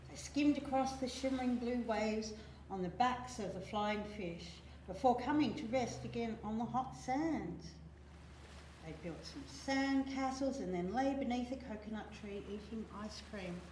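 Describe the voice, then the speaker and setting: A woman reading a children's picture book aloud in an expressive, dramatic storytelling manner, drawing out key words. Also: on the high side. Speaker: library storytime reader. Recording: very echoey room, faint noise.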